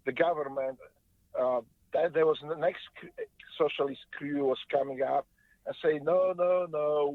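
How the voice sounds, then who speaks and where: A man from Poland with a Polish accent, a guest telling his story in halting, earnest speech over the phone from a moving car. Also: fairly high pitch, dry recording. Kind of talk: phone interview. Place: car on the freeway. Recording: tinny phone line, dry.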